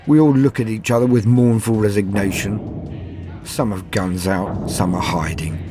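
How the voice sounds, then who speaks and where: A man performs an arrogant military villain telling his own horror tale. The voice is low and theatrical, leaning hard on key words with dramatic pauses. Faint music runs underneath. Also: natural voice. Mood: dread, resignation. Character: arrogant male villain officer sinking into dread. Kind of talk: dramatic first-person horror storytelling.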